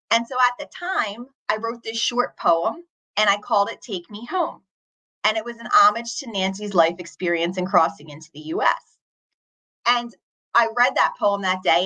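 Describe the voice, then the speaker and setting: Woman guest, 40s, earnest and reflective storyteller, bright clear tone. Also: medium pitch, poor audio. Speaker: teacher and author, podcast guest. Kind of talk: storytelling in an interview.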